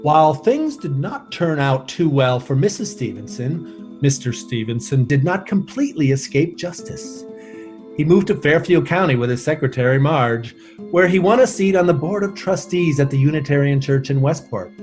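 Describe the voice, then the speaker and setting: A man's voice: fairly high pitch, expressive, moderate pace, darkish tone. A man as announcer, reading scripted radio drama narration and credits with guitar music behind him.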